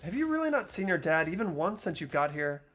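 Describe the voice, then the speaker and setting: Performer voicing an unsure, good-hearted teen boy; stammers, pitch swings widely. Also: sing-song, brisk, a bit bright, soft background music. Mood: earnest. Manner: hesitant, stammering, earnest. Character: earnest, hesitant teenage boy student. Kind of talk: amateur voiced game playthrough.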